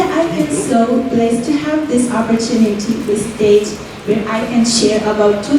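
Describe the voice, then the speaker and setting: Young Nepali-accented woman, fairly high voice, earnest student speech, picked up from a distance in a very echoey hall. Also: background noise. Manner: earnest, fills with "like" and "you know". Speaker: student speaker. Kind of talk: prepared stage speech. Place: university event hall. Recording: roomy hall audio from far off.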